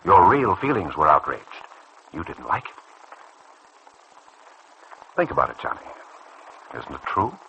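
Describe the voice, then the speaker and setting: A man acting in a vintage radio soap as a calm, earnest doctor who probes and persuades in a measured way. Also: deep voice, creaky, heavily acted. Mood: probing, earnest. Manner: measured, probing, earnest delivery. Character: calm, earnest, persuasive male doctor counselling a younger colleague. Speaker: radio serial actor. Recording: noisy old radio drama recording.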